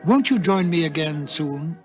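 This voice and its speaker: Male program host, slightly high register, scripted introductions and credits, music bed. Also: expressive, a little slow, darkish tone. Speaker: host and narrator. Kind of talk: scripted radio program hosting.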